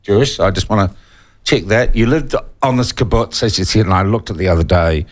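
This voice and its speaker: A man with a New Zealand accent hosting a conversational radio interview in a studio, with a halting, hedging delivery. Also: fairly low pitch. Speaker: radio talk show host. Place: broadcast studio.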